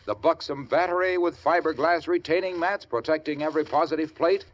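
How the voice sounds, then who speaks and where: An actor playing a grandiloquent American pitchman with florid, polished salesmanship in a 1950s radio commercial. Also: a little fast, natural voice. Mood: enthusiastic selling. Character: grandiloquent, enthusiastic battery pitchman as diner. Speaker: commercial announcer. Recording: dull old radio recording.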